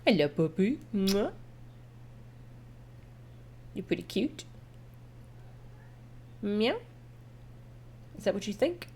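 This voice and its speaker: A woman's voice: medium pitch, sing-song, takes it slowly, long pauses, darkish tone, slight background noise. A woman chatting casually to viewers as she streams a game, in an easygoing, chatty manner.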